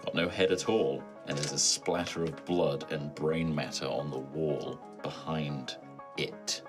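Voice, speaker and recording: A man with a very deep voice runs a horror tabletop game. He narrates in measured, descriptive phrases over clearly audible music. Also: steady tone. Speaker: game master. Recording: clear music bed behind.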